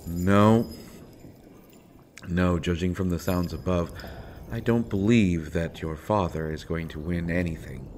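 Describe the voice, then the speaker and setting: A man playing a sardonic imprisoned assassin in a roleplay audio drama, speaking deliberately with wry mock courtesy and pointed pauses. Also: deep voice, a little mellow, slight background noise. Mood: dryly amused. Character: sardonic imprisoned male assassin, principled, coolly amused. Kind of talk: scripted roleplay audio drama, addressed to listener.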